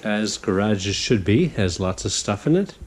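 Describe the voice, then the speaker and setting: A man working as a real estate agent, chatting casually as he guides the viewer on a walkthrough, a bit hoarse from a cold. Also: on the low side, expressive, unhurried. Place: outdoors, walking around a property in the cold. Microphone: handheld camera while walking. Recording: outdoor noise with passing vehicles.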